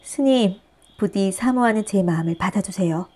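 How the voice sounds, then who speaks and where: A woman's voice: mid-range voice, normal speed, a little mellow, natural voice. A woman voicing a devoted, shy young maiden in a storybook, polite and earnestly pleading.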